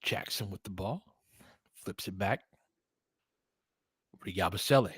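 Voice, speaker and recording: A man's voice: low voice, unhurried, slightly rough, dry recording, studio quality. A man giving informal live basketball play-by-play as a partisan commentator who urges on the home team.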